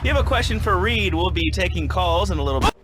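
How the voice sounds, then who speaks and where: An actor playing a wry, sarcastic talk radio host on a satirical show, given to deadpan asides. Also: male voice, high-pitched, fast, music in the background.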